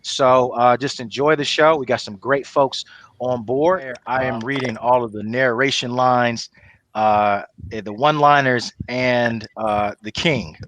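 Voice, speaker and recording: Man, host and narrator, casual delivery, mid-pitched voice, dry recording. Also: steady tone, measured pace, fairly bright tone, dry recording. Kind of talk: casual hosting and script reading.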